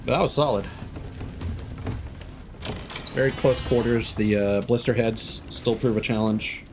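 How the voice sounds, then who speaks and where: A man's voice: mid-range voice, fairly even intonation, unhurried, background noise. A man streaming a video game with casual, reactive commentary, thinking aloud and swearing freely. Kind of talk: casual live gameplay commentary.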